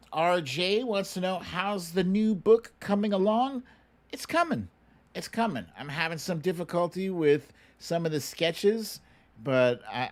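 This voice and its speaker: A middle-aged American man hosting a livestream, chatting casually and answering viewer questions in an unhurried, musing way with pauses. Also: medium pitch. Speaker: livestream show host.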